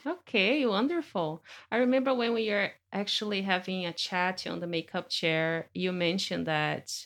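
A woman photographer hosting a podcast interview in her studio, speaking gently and effusively with many fillers. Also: mid-range voice, moderate pace. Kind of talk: warm conversational interview.

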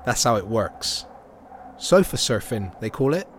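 A man's voice: medium pitch, very animated. Pleading English teenage boy, noisy ambience. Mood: resigned, reflective. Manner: halting, pleading, colloquial. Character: battered, pleading, troubled teenage younger brother. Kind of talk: naturalistic audio drama acting.

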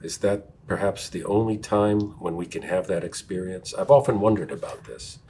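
A man's voice: low voice, normal speed, gravelly, dark tone, slight background noise. A man who teaches, hosting an academic conversation and asking reflective, probing questions. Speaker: teacher, discussion host.

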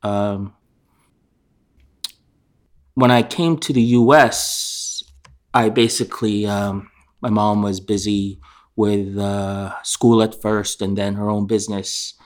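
Low-voiced man answering haltingly in an interview. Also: level tone. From born in Korea, raised in England and New York. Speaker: interview guest.

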